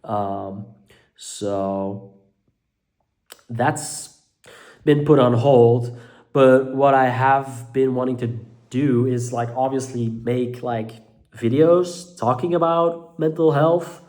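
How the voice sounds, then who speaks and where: A young man from Belgium with a Dutch-speaking accent talks casually to his viewers from his living room. He is hesitant, fills gaps with "uh" and "um," and often restarts his sentences, with a pitch that sits in a middle range for a man. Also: takes it slowly, darkish tone. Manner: hesitant, many fillers and restarts. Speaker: mental health content creator. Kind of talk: casual talk to camera.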